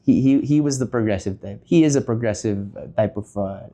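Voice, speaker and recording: A man, a podcast guest who talks through his answers with many pauses and self-deprecating asides. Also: lowish voice, mellow. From Philippines. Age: 30s. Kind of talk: casual interview chat.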